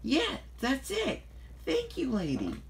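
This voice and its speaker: A storyteller voicing Thomas as an excitable, eager young male tank engine who exclaims a lot. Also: mid-range voice, normal speed, a little hiss or hum, voice pushed up. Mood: excited, eager. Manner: exclaiming, stuttering.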